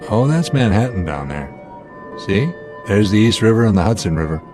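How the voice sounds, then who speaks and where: A low-pitched male airline captain in a radio drama, measured and authoritative under pressure. There is a dry, wry edge to his command, and music runs clearly behind him. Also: very animated. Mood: baffled.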